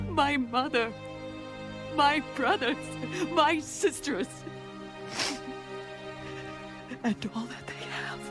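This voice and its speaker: Slow, pleading high-voiced devout woman. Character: pleading ancient woman, fearful yet devout, bargaining for family. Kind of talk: dramatized Bible audio reading. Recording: background music under the voice.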